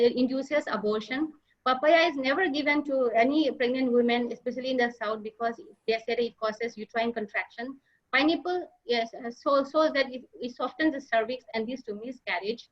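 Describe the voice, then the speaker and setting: A woman giving a slide presentation as a nurse leader, halting often with fillers and restarts. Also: fairly high pitch. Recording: dull lo-fi online audio.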